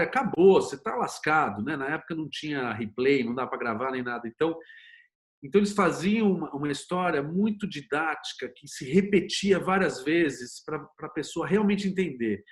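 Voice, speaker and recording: A man's voice: a little high, fairly quick. A Brazilian man talking as an interview guest, explaining things conversationally in a storytelling way with frequent tag questions. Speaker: interview guest, series producer and screenwriter.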